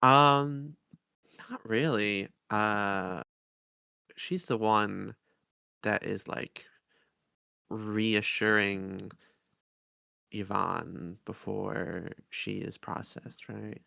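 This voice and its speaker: A man's voice: fairly low pitch, drawn-out words, gravelly, pauses often, sharp tone, no echo. A man co-hosting a podcast in casual conversation, hesitant and often searching for words or trailing off. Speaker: podcast co-host. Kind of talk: casual review discussion of an audio drama.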